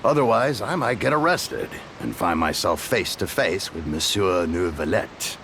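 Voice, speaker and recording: A man's voice: sits low, big pitch swings, a little slow, background noise, exaggerated voice. A man playing an easygoing, chatty city local in a video game, with a breezy, lightly joking manner. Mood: amused. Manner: breezy, informal.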